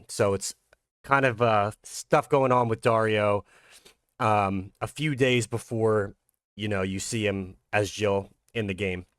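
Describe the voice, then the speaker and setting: A man's voice: lowish voice, no room sound, studio quality. A man who talks casually about his own visual novel and reads its narration aloud. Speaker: creator reading own fan-made visual novel. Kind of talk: casual intro, then dramatic read-aloud narration.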